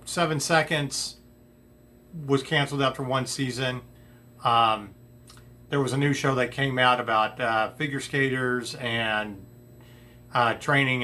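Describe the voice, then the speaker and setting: A man hosting an entertainment show, talking casually to his audience in a halting, conversational way, often repeating phrases. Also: medium pitch, bright, forceful tone. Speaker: host of an entertainment news show. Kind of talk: casual opinion talk to the audience.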